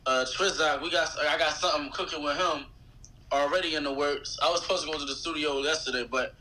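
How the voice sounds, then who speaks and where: A man's voice: on the high side, steady tone, fast, pushed and bright, poor audio. A man from Philadelphia chatting casually and slangily as a podcast guest. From Philadelphia, United States. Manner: slangy, informal. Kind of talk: casual chat.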